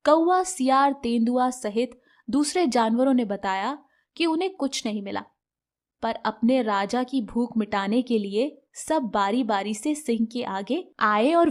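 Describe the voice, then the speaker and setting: Woman, high voice, narrator, scripted children's fable, moral storytelling. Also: a little mellow.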